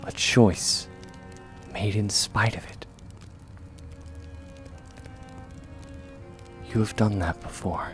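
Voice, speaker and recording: A man acting as a steadfast young warrior mentor offers comfort in a low voice. He speaks slowly and softly, often sinking toward a whisper, in an intimate ASMR roleplay over faint music. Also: steady tone, lightly acted. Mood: earnest reassurance. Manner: soft, reassuring, measured, often near whisper.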